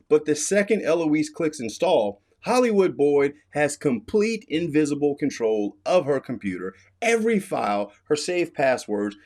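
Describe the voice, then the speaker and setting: A man's voice: mid-range voice, darkish tone. A middle-aged American man giving an emphatic, instructive explainer commentary as an expert host. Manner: emphatic, instructive, repeats key warnings.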